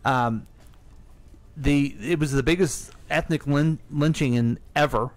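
A man's voice: fairly high pitch, unhurried. American man in casual radio talk. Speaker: radio show co-host.

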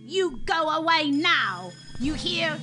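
A woman acts out a prim old housewife in a radio play, wary and dismissive. Her voice is very high and slow, with music clearly playing behind her. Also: very animated, heavily acted. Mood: indignant, dismissive. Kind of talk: radio drama acting.

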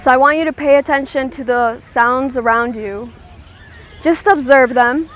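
A woman leading a guided meditation, giving gentle, soothing instructions and checking in with her listeners. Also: high voice, a little slow, a little hiss or hum, poor audio.

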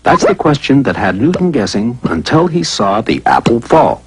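A man's voice: mid-range voice, sing-song, moderate pace, slightly rough, no echo, rough recording. A man narrating a scripted educational cartoon in a playful, conversational way, full of rhetorical questions.

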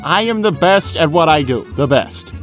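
A performer voicing a boastful, fussy fox inventor in an animated comic dub, with a smug, theatrical delivery. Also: medium pitch, normal speed, lightly acted. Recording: music behind the voice.

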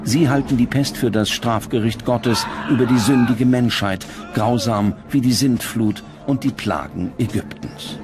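A man reading scripted documentary narration in standard German, with a measured, grave delivery. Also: mid-range voice. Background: background music.

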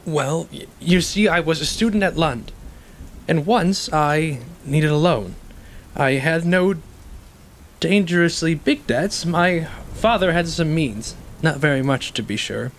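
Man playing anxious educated ex-convict, highish male voice, stammering confessions, self-justifying, stage drama, rain-like noise behind. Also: lively intonation. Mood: confessional, uneasy. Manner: halting, stammering, earnest confessional delivery. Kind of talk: stage play acting. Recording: clearly noisy, rain-like ambience behind.

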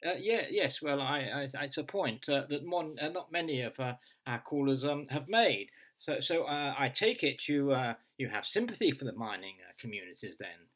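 A man acts out a courteous radio phone-in host in a comic story. He falters and stumbles politely. His voice is bright, with lively, swinging pitch. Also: moderate pace, hardly any pauses. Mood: hesitant, polite. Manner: hesitant, stammering politeness.

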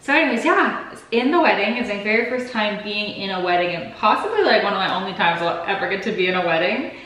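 A woman's voice: medium pitch, animated, fast, fairly bright tone. Chatty sniffly woman in an echoey kitchen. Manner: chatty, rambling, talks to her cats. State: slightly sick, sniffly. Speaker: vlogger. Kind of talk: casual chat to camera. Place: home kitchen, indoors.

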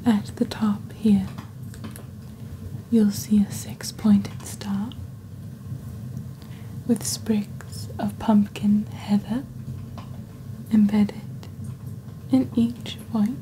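A woman speaking in a breathy, whispery ASMR style, close to the microphone, with a deliberate theatrical delivery as she presents a scripted roleplay. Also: medium pitch, flat delivery, low-quality recording. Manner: slow, deliberate, hushed, theatrical storyteller delivery. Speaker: host of a roleplay shopping channel. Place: indoors at a desk. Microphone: close desktop microphone.

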